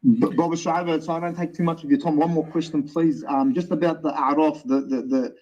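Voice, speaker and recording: Man, caller, slightly high voice, hesitant, repeats words, muffled lo-fi line. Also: steady tone, fairly quick, a little mellow. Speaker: caller asking a question. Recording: dull lo-fi call audio.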